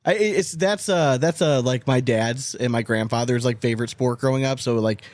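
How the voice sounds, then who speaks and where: A man's voice: mid-range voice, normal speed, a little mellow, no room sound, studio quality. An American man in his thirties hosting a casual podcast chat, informal and sweary, with plenty of banter. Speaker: podcast host.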